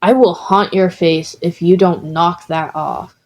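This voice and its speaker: A woman voicing a brash, hot-tempered teenage boy in a story reading, gruff and scornful. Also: on the low side, fairly even intonation, measured pace, a little mellow. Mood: irritated.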